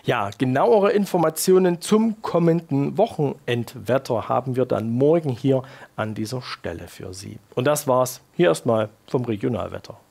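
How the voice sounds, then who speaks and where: A man in his thirties presenting scripted regional news and weather in a measured, formal announcing manner with clear emphasis. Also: mid-range voice, a little mellow. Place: TV studio.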